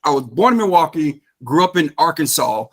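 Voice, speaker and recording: A man's voice: fairly high pitch, lively intonation, a little fast. Affable American man in his fifties. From Georgia, United States. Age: 50s. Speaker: interview guest, real estate investor. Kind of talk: casual conversational storytelling.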